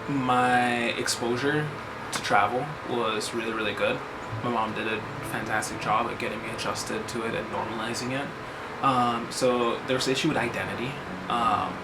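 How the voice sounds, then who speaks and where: A man from Jamaica speaking in a casual conversation, measured and thoughtful with frequent pauses and fillers. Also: fairly low pitch, heavy background noise. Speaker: guest. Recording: soft guitar music underneath.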